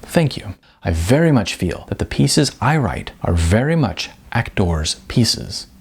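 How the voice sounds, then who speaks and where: Guy doing a pompous wannabe auteur for an audiobook, super affected n stilted, gravelly, pitch all over. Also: big pitch swings, a little slow, full character voice. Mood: pompous, intense. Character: shy, pretentious young male amateur writer-director.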